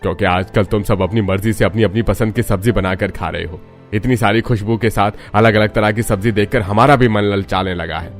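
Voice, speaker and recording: An elderly family father played by a man from a Hindi cartoon, with a fairly low voice. He is plain-spoken and emphatic, set in his ways, with faint music behind him. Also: brisk, natural voice. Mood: sulky, defensive.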